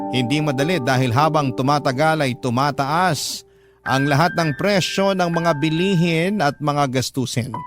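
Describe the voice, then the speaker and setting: A man's voice: a little high, close to an everyday voice. A man with a Filipino accent playing an earnest older brother who tells his life story as sentimental audio drama narration. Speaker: narrator of a radio love story. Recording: music bed under voice. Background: music.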